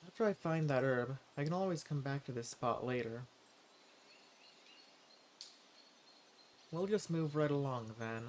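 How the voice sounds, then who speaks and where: A man playing a friendly, eager adventurer and forager in a fantasy roleplay audio drama, speaking politely and earnestly with gentle hesitation. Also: mid-range voice, brisk, slight background noise, close to an everyday voice. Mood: excited, eager. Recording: clear outdoor nature ambience with birdsong. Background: forest ambience, birds.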